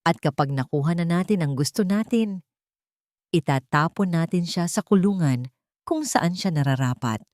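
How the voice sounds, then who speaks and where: A woman's voice: fairly low pitch, expressive, moderate pace, a little mellow, no echo, clean studio sound, natural voice. A woman voicing a scheming wealthy older matriarch who gives orders with cold authority and cunning. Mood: commanding.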